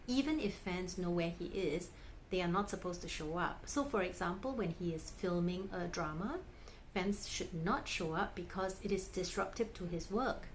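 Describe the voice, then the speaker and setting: Chatty woman vlogger, low-pitched. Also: darkish tone, a little hiss or hum, rough recording. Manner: chatty, gushing fan enthusiasm. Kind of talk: casual narration.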